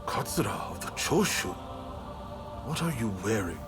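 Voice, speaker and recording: An actor playing a proud, guarded samurai clan leader in a video game, stern and formal in manner. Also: male voice, on the low side, sing-song, moderate pace, over music. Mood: skeptical. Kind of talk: video game cutscene acting.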